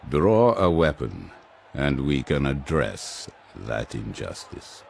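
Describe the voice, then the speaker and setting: Menacing superhuman champion: bottomless bass, gravel, cold threat, audio drama. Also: male voice, sing-song, slow, a little mellow, a little hiss or hum, heavily acted. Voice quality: very deep, gravelly. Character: menacing, battle-hungry superhuman warrior champion.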